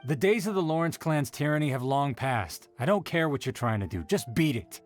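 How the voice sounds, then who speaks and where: A man's voice: a little high, lively intonation, moderate pace, faint music behind, lightly acted. A man voicing a surly, dismissive townsman in a video game, curt and disdainful. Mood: contemptuous.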